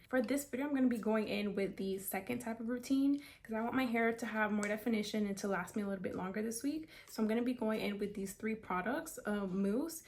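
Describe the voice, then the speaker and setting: Chatty young woman vlogger. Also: medium pitch. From South Florida. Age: 20s. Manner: chatty, upbeat, lots of "like" and "kinda". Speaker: beauty vlogger. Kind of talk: casual hair tutorial vlog.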